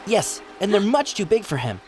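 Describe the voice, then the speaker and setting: An actor playing a mild young crew member in a dubbed animated film. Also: male voice, high voice, moderate pace, a little hiss or hum. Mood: hesitant recalling.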